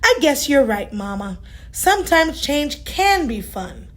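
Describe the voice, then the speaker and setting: A woman's voice: high-pitched, big pitch swings, unhurried, a bit bright, heavily acted. A woman voicing a sweet, eager but easily worried young girl hippo in a children's storybook. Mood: thoughtful. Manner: childlike, exclaiming.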